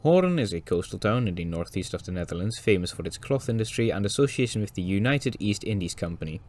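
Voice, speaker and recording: A man with a slightly hoarse voice from a cold, narrating a scripted railway documentary with dry, wry asides. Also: on the low side, lively intonation, fast talker, darkish tone. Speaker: railway video presenter.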